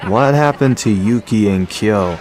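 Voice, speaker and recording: A man playing a laid-back, sardonic teenage boy with a deadpan manner in a radio drama. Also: on the low side, faint music behind, natural voice. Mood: cool, dry. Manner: deadpan, slow. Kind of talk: amateur anime-style radio drama acting.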